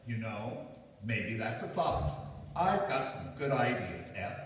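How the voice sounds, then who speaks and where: A man's voice: lowish voice, very animated, takes it slowly, a little hiss or hum. A man playing an earnest, blustering husband with political ambitions in a stage radio play. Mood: earnest, resolute. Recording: very echoey hall, far from mic.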